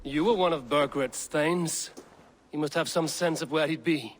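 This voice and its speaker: A man playing a steady, blunt Viking warrior leader in a video game cutscene. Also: fairly high pitch, moderate pace, a little hiss or hum, lightly acted. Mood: businesslike.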